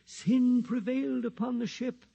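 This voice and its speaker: An actor playing a frightened, self-righteous old priest in a radio drama, preachy and solemn, quick to stammer. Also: male voice, very high voice, steady tone, creaky, warm tone, highs cut off, heavily acted. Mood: solemn preaching. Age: older.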